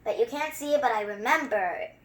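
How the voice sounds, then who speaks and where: A young-sounding story reader who reads a picture book aloud and chats through it with expressive, wandering asides. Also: medium pitch, quick pace. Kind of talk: children's story time read-aloud with excited running commentary.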